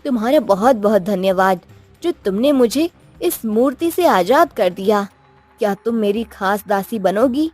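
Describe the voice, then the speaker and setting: A woman's voice: mid-range voice, animated, measured pace, darkish tone, soft background music. A woman voicing an assured magical queen in a cartoon story, commanding and reassuring. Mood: grateful. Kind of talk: cartoon moral story acting.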